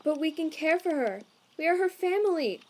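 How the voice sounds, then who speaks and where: Woman voicing a grandiose, high-pitched naive skeleton. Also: animated, moderate pace. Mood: pleading, dismayed. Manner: grandiose, formal wording without contractions. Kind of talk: animated comic dub voice acting.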